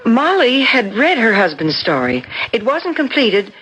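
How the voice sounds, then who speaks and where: A woman's voice: on the high side, very animated, unhurried, a bit bright, breathy edge, full character voice. An actress playing a determined, probing married woman in a 1970s radio drama. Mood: purposeful, confiding. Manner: insistent, probing. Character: determined married woman, doubting her best friend's suicide. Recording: noisy vintage radio broadcast.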